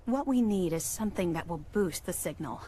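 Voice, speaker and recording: An actress playing an earnest, respectful military technician in a video game, speaking with reassurance. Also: female voice, fairly low pitch, lively intonation, brisk, warm tone, a little hiss or hum, natural voice. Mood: deferential, concerned. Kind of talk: scripted video game dialogue.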